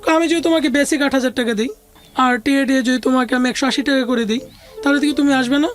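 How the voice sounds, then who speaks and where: High-voiced Bangladeshi woman telling her story. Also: fast. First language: Bengali. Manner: conversational, mixes in English words. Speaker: interview guest. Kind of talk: recounting a personal life story.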